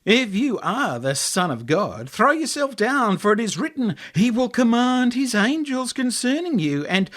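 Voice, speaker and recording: A menacing Australian tempter from an audiobook tale, acted out by a male narrator. He taunts in a mocking, slangy way, with the voice leaping up high. His delivery swings widely as he needles his target. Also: very animated, unhurried, hardly any pauses, fairly bright tone, full character voice. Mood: goading, taunting. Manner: mocking, goading, slangy, pitch leaping upward.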